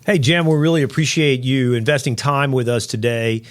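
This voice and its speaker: A man's voice: medium pitch, normal speed. A man co-hosting a podcast interview, talking in a chatty, rambling way with the odd joke. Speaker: podcast co-host.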